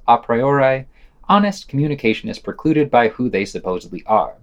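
A man podcast host reading a scripted news roundup with quoted article excerpts. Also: medium pitch.

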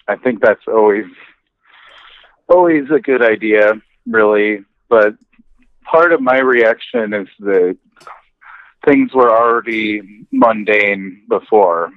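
A man speaking casually and haltingly as a podcast guest over a tinny remote call. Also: fairly low pitch, no echo. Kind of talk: casual conversation. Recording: tinny, phone-like line.

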